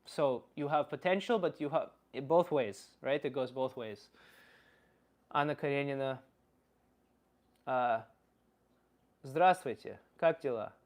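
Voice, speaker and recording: A man playing a blunt Slavic uncle, philosophizing in halting, casual livestream talk and answering chat comments. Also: mid-range voice, natural voice. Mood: casual, opinionated. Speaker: livestream host.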